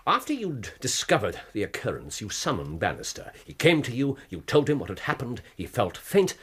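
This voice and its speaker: A man playing a shrewd, commanding private detective in a radio drama, questioning incisively in a husky English accent. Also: mid-range voice, huge pitch swings, normal speed, a bit bright, heavily acted. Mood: brisk, probing.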